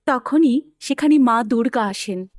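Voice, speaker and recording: A woman's voice: a little high, unhurried, darkish tone, no echo. A woman narrating a children's story from a script.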